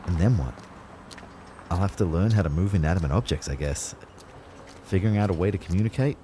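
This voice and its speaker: A low-pitched young man played by an actor narrates his strange afterlife in an audio drama. He is wry and a little uneasy, with a hesitant, conversational delivery. Noticeable ambient noise sits behind the voice. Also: lively intonation, a little fast, warm tone. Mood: reflective. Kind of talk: first-person audio drama narration and dialogue.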